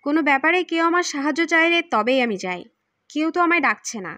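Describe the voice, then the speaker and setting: Woman as older male adventurer, patient, bantering, high pitch, audiobook character, dry close sound. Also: animated, fast talker, bright, forceful tone, dry recording. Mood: teasing, patient. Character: calm, teasing older adventurer uncle, a man.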